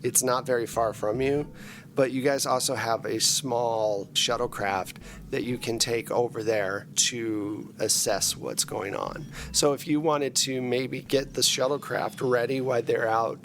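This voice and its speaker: A man's voice: medium pitch, steady tone, unhurried, fairly bright tone. Male tabletop game master, mid-range voice, conversational narration, some noise behind him. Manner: casual, conversational.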